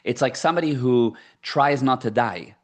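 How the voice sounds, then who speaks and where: A man's voice: mid-range voice, moderate pace. Emphatic South African-born man, Hebrew native. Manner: emphatic, repeats key phrases. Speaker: guest, entrepreneur and coach. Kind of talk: casual podcast interview.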